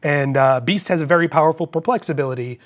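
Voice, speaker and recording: The co-host at a tabletop hobby show, a man with a slightly high voice who casually explains how each piece plays. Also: fast talker. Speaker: co-host explaining the game rules.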